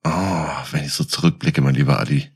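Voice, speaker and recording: Deep, husky, animated male podcaster. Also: brisk. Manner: teasing, jokey. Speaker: podcast co-host. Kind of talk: casual joking banter.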